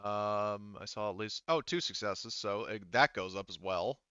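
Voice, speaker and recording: A man casually running a tabletop roleplaying session as game master, halting and thinking aloud as he goes. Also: on the low side, bright, forceful tone, clear, resonant tone, dry recording, lo-fi sound.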